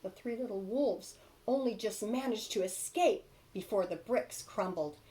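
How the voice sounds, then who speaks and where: A woman's voice: medium pitch, measured pace, warm tone. A woman narrating a children's storybook expressively, like a teacher reading aloud to young children.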